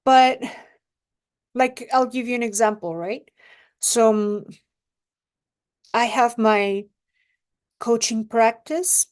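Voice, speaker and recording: A young adult woman, a relationship coach giving advice in an unhurried, reflective way and often checking in with the listener. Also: on the high side. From Mexico. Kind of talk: casual advice monologue to camera.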